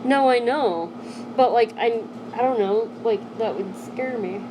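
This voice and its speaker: A young woman hosts a casual live stream from her home, her voice sitting a little high. She rambles and keeps dropping in "like" as she hunts for the words. Steady background noise runs under her voice the whole time. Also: a little slow. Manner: rambling, heavy use of "like" fillers.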